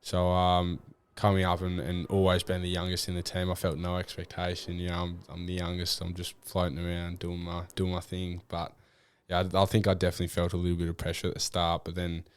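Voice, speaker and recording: A young Australian man answering interview questions about his football in a relaxed, rambling way, with many fillers and restarts. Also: low-pitched, fairly quick, hardly any pauses, smooth. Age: young adult. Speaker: draft prospect guest. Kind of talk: casual interview.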